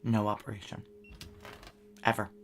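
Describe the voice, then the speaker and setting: An actress playing a tough, guarded ex-military security chief, blunt and wry, in a noir science fiction audio drama. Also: female voice, rumbling low, flat delivery, moderate pace. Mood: grave. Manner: blunt, clipped, profane, wry.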